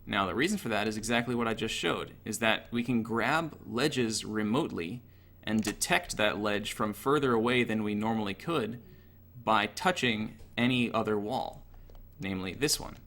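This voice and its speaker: Bright, low-voiced man explaining game mechanics. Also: normal speed. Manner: matter-of-fact, analytical. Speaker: gaming tutorial narrator. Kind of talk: informal technical explanation over gameplay.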